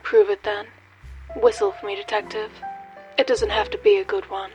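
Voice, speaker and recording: A woman's voice: medium pitch, level tone, normal speed, darkish tone, faint music behind, close to an everyday voice, poor audio. A woman playing a mocking, teasing femme fatale in a comic noir radio play. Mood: sly, sardonic. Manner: teasing, sardonic, theatrical.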